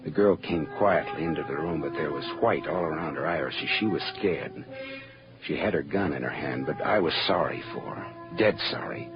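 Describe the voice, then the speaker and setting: An actor playing a wry, world-weary private detective in a terse, sardonic 1940s radio noir style. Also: male voice, extremely low pitch, normal speed. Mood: tense, brooding. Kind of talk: 1940s radio noir acting and first-person narration. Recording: dull old radio recording with loud music.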